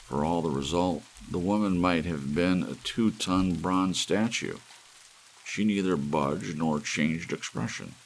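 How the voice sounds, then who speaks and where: A man reading an audiobook story in a dramatic, hushed storytelling style, as a narrator. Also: extremely low pitch, gravelly, low-quality recording. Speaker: audiobook reader. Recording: steady hiss of soft rain. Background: soft rain.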